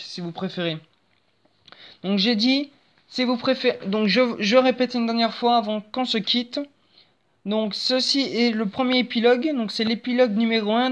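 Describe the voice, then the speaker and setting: A young woman hosting her own channel, chatting casually over a phone screen recording with lots of fillers and restarts. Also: fairly high pitch. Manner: rambling, hesitant, many fillers and restarts. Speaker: channel host.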